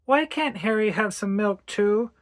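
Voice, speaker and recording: A woman's voice: on the high side, sing-song, moderate pace, no room sound, pitched above the performer's own voice. A woman voicing a small, stubborn little girl with a pleading, insistent manner in a story reading.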